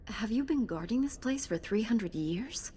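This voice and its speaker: A woman's voice: a little high, expressive, moderate pace, warm tone, slight background noise, close to an everyday voice. A woman playing a composed and direct knightly heroine in a video game, with controlled delivery. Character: composed, blunt young female Jedi knight. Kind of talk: game character dialogue.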